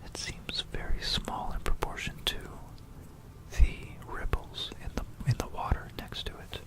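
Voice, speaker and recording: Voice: unhurried, poor audio. An ASMR narrator from Florida with an American accent whispers a musing, explanatory history talk close to a stereo microphone. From Florida, United States. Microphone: close stereo binaural microphone.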